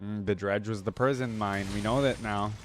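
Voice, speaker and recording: A man's voice: lowish voice, fairly even intonation, moderate pace, noisy background. A man hosting a reaction video, giving casual commentary and musing aloud in short remarks. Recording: loud music underneath. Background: loud music.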